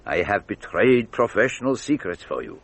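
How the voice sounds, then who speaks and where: An actor playing an indulgent, gallant investigator with a courteous, confiding manner in a radio drama. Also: male voice, on the low side, sing-song, normal speed, vocal fry, heavily acted. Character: indulgent, gallant male investigator charmed by youth.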